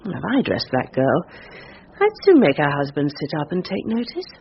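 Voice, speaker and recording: A woman's voice: sits low, huge pitch swings, moderate pace, heavily acted. An actress playing a breezy, catty English society woman with an arch, wry manner in a radio drama. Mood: scornful. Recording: muffled radio broadcast, faint noise.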